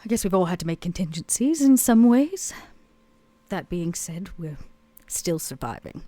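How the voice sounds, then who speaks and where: A woman playing a weary, imperious, hard-bitten boss in a tabletop game, speaking in a measured, formal way. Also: on the low side, very animated, mellow, dry recording. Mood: weary defiance. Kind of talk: improvised tabletop roleplay.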